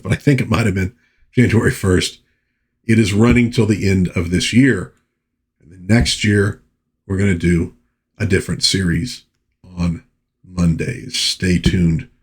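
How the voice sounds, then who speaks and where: A man hosting a casual review, talking in a halting, musing way with pauses and repeated phrases. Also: fairly low pitch, slightly rough. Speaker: host of a comic book review series.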